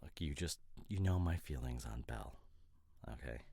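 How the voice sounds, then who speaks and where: Very deep-voiced, opinionated boyfriend played by a man. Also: expressive, gravelly, mellow, breathy edge, no echo, clean studio sound, full character voice. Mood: grudging, nitpicky. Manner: pedantic, exasperated, halting conversational asides. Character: opinionated, nitpicking boyfriend, a professional costume designer. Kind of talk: boyfriend roleplay audio drama, improvised-sounding casual rant.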